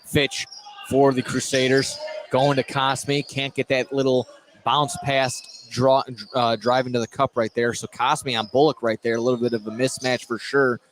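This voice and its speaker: Clear background noise from a gym sits behind a male sports announcer. He has a mid-range voice and gives live radio play-by-play of a high school basketball game, tracking the action. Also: level tone, moderate pace. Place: indoor basketball gym, courtside. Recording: noisy live gym ambience. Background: loud game ambience.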